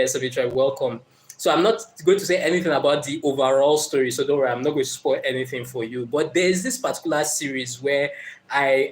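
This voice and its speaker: A man's voice: fairly high pitch, a little hiss or hum. A man with a Nigerian accent hosting a motivational live stream. He speaks earnestly and encouragingly, greets commenters, and uses many fillers.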